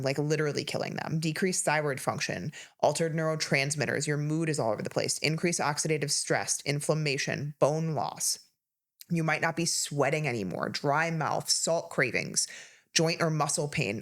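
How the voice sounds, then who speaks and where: A woman in her thirties co-hosting a health podcast, talking casually and listing symptoms rapidly with emphasis. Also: sits low. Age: 30s. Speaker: podcast co-host, health coach.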